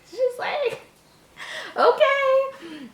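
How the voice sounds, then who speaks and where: A woman's voice: very high voice, very animated, very slow, roomy sound. A woman reading a horror story aloud casually, giggly and reactive, breaking off to joke and comment.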